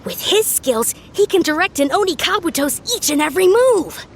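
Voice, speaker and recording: A polite eager little anime game sidekick, high lively voice, very formal and earnest, slight rumble behind. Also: female voice, moderate pace. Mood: earnest, revelatory. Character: earnest, polite young sidekick, excitable deducer.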